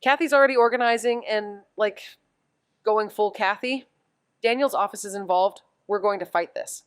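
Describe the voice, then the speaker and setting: Woman acting brash female sidekick, sarcastic, blunt, quippy, smooth clear tone, dry close sound, podcast drama. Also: medium pitch, normal speed, no echo, natural voice. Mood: frank. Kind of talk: audio drama dialogue.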